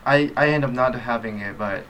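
Bright-voiced hesitant actor. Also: male voice, mid-range voice, brisk, slight background noise, rough recording. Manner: hesitant, many fillers. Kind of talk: interview answers.

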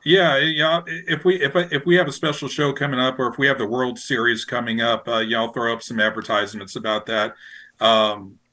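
A man's voice: mid-range voice, fairly even intonation, a little fast, sharp tone. A man chatting casually as a radio station operator in an interview, rambling and repeating his phrases as he feels for words. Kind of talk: casual podcast interview chat.

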